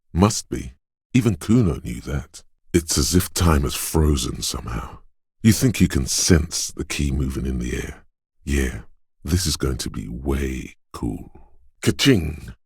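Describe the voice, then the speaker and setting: A man voicing an alert, streetwise inner voice of quick reflexes in a video game, narrating dramatically and knowingly to the listener, very deep, gravelly and husky. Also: a little mellow, no echo, clean studio sound, heavily acted. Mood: cool, streetwise. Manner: knowing, conspiratorial, addresses listener as you.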